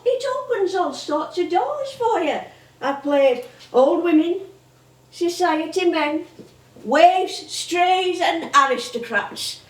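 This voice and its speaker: An actress playing a chatty, nostalgic older comedienne with a Northern English accent, rambling and exclaiming as she tells stories on stage. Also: fairly high pitch. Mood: proud, reminiscing. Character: veteran comedienne, chatty, nostalgic older music-hall woman. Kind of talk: theatrical stage monologue and dialogue. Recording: echoey theatre stage from far off.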